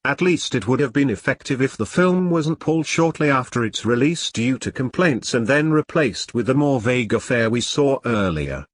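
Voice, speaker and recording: A man's voice: mid-range voice, expressive, moderate pace, hardly any pauses, dry recording. A British man reading a scripted review in a dry, sardonic, sweary manner.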